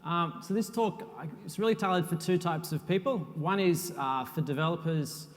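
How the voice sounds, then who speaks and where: A man's voice: a little high, moderate pace. Man presenting in a reverberant hall. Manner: relaxed, self-deprecating presenter with frequent uhs. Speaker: conference speaker, software architect. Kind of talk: technical conference talk. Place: conference hall.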